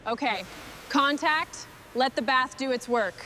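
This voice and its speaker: A woman's voice: high-pitched, a little slow, background noise. A woman leading a workshop team, giving short, encouraging instructions and comments as the work goes. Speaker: team boss in a truck restoration workshop.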